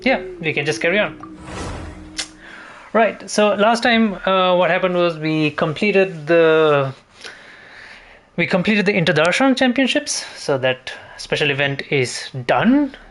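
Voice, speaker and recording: Higher-voiced man rambling on a stream, music behind. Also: animated, unhurried, a bit bright. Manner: rambling, repeats phrases while thinking. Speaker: game live streamer. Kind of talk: casual live stream chat.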